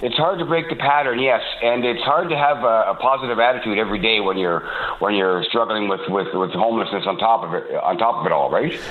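A man in his sixties from Toronto, calling in to a radio show, talking haltingly with many fillers and restarts, a bit congested from a cold. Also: lowish voice, slight background noise. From Toronto, Canada. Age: 60s. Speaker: caller. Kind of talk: call-in radio talk. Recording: tinny phone line.

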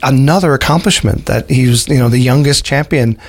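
A man's voice: medium pitch, fairly quick. Chatty male morning radio host. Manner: friendly, chatty questions. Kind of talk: radio interview.

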